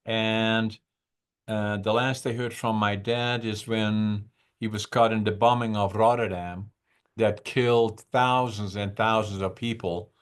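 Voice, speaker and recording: An older man in his eighties, from the Netherlands, is talking over a video call. His voice sits a little low, and he tells his stories slowly, in a reflective way, stopping often to search for words. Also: fairly even intonation, clear, resonant tone. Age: 80s. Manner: unhurried, reflective, with frequent "uh" pauses. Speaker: podcast guest. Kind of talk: conversational storytelling.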